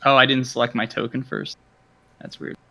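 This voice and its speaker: A man's voice: medium pitch, flat delivery, normal speed, gravelly, sharp tone. A man chatting casually as a player in a tabletop role-playing game. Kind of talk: casual chat.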